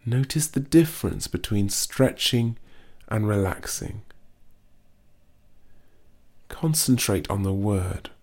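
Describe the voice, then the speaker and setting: A man reading a guided muscle relaxation script in a slow, soothing, gently instructive way. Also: fairly low pitch, expressive.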